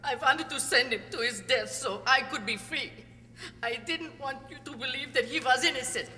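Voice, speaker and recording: A woman's voice: high-pitched, moderate pace, bright, forceful tone. An actress playing a haughty, bitter, defiant woman witness theatrically in a courtroom radio play. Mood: bitter confession. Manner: indignant, theatrical. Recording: reverberant hall.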